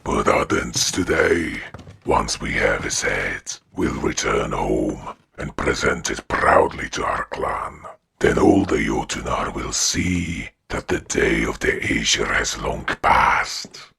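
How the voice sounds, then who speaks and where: A man playing a bloodthirsty, vengeful giant warrior in a fantasy audio drama, with a deep, raspy growl. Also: sing-song, slow, sharp tone, heavily acted. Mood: hungry, scheming.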